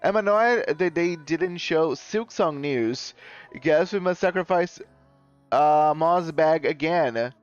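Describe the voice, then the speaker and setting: A man's voice: on the high side, takes it slowly, clear, resonant tone, soft background music. Rambling streamer man, dull lo-fi audio. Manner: rambling, self-correcting, chuckling. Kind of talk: casual live-stream chat with viewers.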